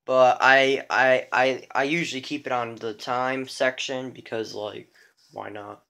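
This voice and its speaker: A man's voice: medium pitch, flat delivery, measured pace, creaky, pushed and bright. A man giving a casual, unscripted show-and-tell of a hobby collection, rambling and repeating himself.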